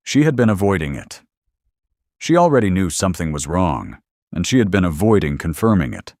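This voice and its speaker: A man's voice: low voice, sing-song, fairly quick, darkish tone, no echo, studio quality. A man co-hosting a horror podcast, giving scripted, incredulous and nervous reactions to the story. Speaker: podcast co-host.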